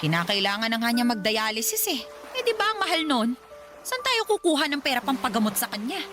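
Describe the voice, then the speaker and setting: Fed-up mom in a radio drama, naggy and stressed, a bit high, music behind. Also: female voice, very animated, brisk. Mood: worried. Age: middle-aged. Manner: scolding, exasperated. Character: stressed scolding mother, middle-aged woman.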